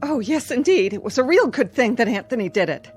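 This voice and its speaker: An actress playing a middle-aged small-town housewife in a radio drama, determinedly pleasant while uneasy and evasive. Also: female voice, fairly high pitch, very animated, a little fast. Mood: brittle cheer. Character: fearful small-town housewife keeping up brittle cheer.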